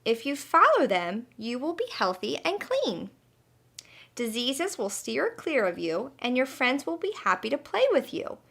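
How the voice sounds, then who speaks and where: A woman's voice: high voice, sing-song, measured pace, creaky, fairly bright tone, breathy edge, full character voice. A woman voicing a kindly, patient female butterfly who teaches in an encouraging, emphatic way in a children's storybook. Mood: instructive. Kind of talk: children's storybook read-aloud.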